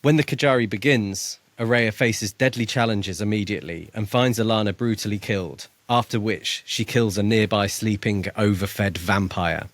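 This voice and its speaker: A man's voice: on the low side, moderate pace, few pauses, no room sound. A man co-hosting a book podcast, talking through a story in a casual way.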